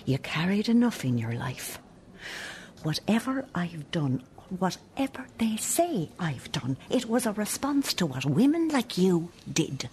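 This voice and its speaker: An actress with an Irish accent playing a stern, bitter, defensive older nun in a radio play, sounding accusing and sanctimonious. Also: female voice, fairly low pitch, very animated, unhurried, a little mellow, slight background noise. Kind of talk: radio play acting, monologue and dialogue.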